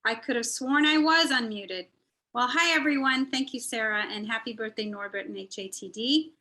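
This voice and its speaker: A woman originally from India and raised in the Middle East speaks in a bright, forceful voice. Her delivery is fluent and conversational, an earnest personal testimonial given over a video call. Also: on the high side, moderate pace. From born in India, grew up in the Middle East, moved to the US. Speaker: volunteer speaker at a nonprofit's online celebration.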